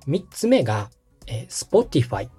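Mellow, husky podcast tutorial host. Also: mid-range voice, sing-song, takes it slowly, soft background music. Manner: explanatory, checks the listener's understanding. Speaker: host and radio director giving a tutorial.

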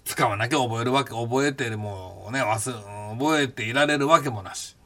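A man in his fifties, hosting a podcast and recounting his past in a casual, blunt and animated way. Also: medium pitch, normal speed. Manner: animated, blunt storytelling with rough casual speech. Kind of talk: casual spoken memoir.

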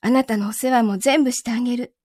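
A woman playing a possessive lover in a voice drama, speaking gently and calmly with quiet menace. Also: on the high side, dry recording, clean studio sound, close to an everyday voice. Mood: sweetly possessive. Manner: soft, gentle, unhurried delivery without shouting. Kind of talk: Japanese voice drama acting.

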